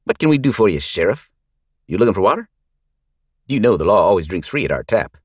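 A man's voice: on the low side, big pitch swings, fairly quick, husky, dry recording, clean studio sound, voice pushed up. A man voicing a gangly male gang leader who taunts and laughs for his crew. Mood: mocking.

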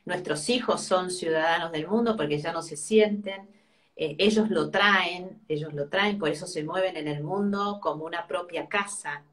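A woman's voice: fairly low pitch, normal speed, a bit bright. A middle-aged woman with an Argentine Spanish accent explains things reflectively on a video call, often checking in with short tag questions. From Argentina, born in Brazil. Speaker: host, family constellations teacher. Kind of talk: casual live video conversation, explanatory. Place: furnished room at home.

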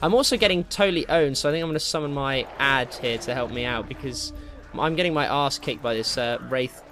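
A man's voice: mid-range voice, a little fast, over music. A young British man giving casual, rambling, jokey gameplay commentary, easily distracted as he goes. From Britain. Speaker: gaming video host.

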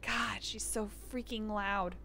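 A woman's voice: medium pitch, steady tone, takes it slowly, pushed and bright. A woman giving casual live commentary as she plays a game, reacting and often muttering to herself. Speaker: game streamer. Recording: clear background noise, game ambience.

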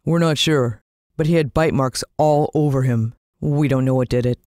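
A woman's voice: a bass voice, moderate pace, dark tone, dry recording, clean studio sound. A woman voicing a sombre, plain-spoken dad in a children's story. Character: sombre dad breaking bad news at breakfast.

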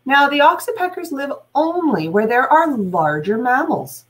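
A middle-aged woman teacher reading aloud to children, deliberate, adding explanations and questions for young listeners. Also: sits high, big pitch swings. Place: small room at home, indoors.

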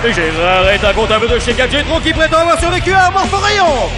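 An actor playing a loud, gleefully cruel male game show host who mocks his contestants. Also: fast talker. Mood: gloating showman. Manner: booming announcer showmanship, mocking. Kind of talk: dubbed video game cutscene. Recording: game audio over loud music and crowd.

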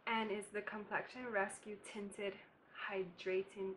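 A woman's voice: mid-range voice, level tone, measured pace, a bit bright, slight background noise, low-quality recording. A young woman from Barbados, chatting casually with laughing asides as she does her makeup in a bedroom. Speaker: beauty vlogger. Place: bedroom, indoors.

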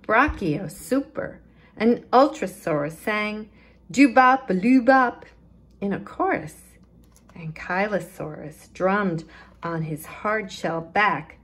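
A woman reading a children's picture book aloud as a storytime librarian, expressive and deliberate, leaning into the rhymes and rhythm. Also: medium pitch.

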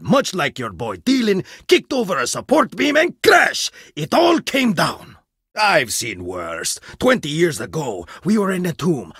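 A proud, distrustful archaeologist from an adventure game, blunt to the point of rudeness. His husky voice swings wildly up and down as he lectures, dry and close to the mic. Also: on the high side, a bit bright, no room sound, heavily acted. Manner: blunt, haughty, dramatic swings in delivery. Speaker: game character voice. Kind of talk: video game voice lines.

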